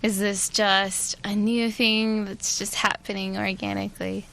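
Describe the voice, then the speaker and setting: Young female radio interviewer, slow casual questions, creaky edge. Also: medium pitch, flat delivery, a bit bright, a little hiss or hum, poor audio. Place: college radio station.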